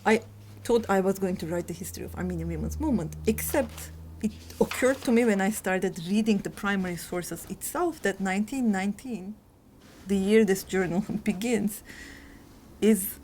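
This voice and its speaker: A woman's voice: medium pitch, moderate pace, a little mellow, a little hiss or hum, rough recording. A woman historian in her forties from Turkey, speaking earnestly and reflectively in a documentary interview.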